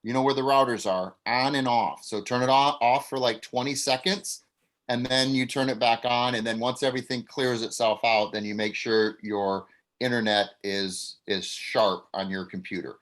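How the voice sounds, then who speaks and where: A man's voice: medium pitch, fairly even intonation, moderate pace, a bit bright. Guy coach running an online group meeting, encouraging, kinda bossing folks along, casual talk. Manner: encouraging, directing. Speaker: acting coach and director leading a group meeting. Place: online video call.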